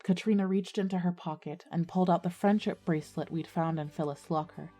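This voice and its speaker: A woman's voice: lowish voice, steady tone, a little fast, dark tone, faint music behind, close to an everyday voice. A woman playing an earnest teenage schoolgirl who narrates her own ghost story in an audio drama. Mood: hushed storytelling. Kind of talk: scripted first-person narration and audio drama dialogue.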